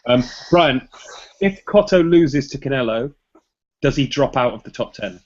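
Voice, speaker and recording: Animated male podcast host, lo-fi. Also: medium pitch, moderate pace. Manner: animated, laughs often, hedging. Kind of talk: casual chat about boxing rankings.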